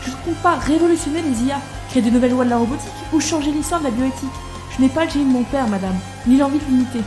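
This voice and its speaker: High-pitched young woman, acted, brash, sardonic, blunt slangy talk, audio drama, music behind. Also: racing, lightly acted. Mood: defiant. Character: brash, irreverent young woman robotics engineer, defiant.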